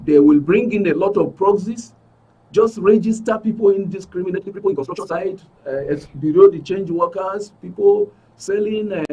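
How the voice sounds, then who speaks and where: Husky Nigerian man, picked up from afar. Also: high-pitched, lively intonation, fairly quick, warm tone, recorded from a distance, dull audio. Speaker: studio guest, aggrieved club member. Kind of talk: spoken account in a broadcast interview. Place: radio and TV studio.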